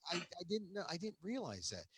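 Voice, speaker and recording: A man hosting a conversational interview, animated and quick to interject, often laughing as he talks. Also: high-pitched, breathy edge. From United States. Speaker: host.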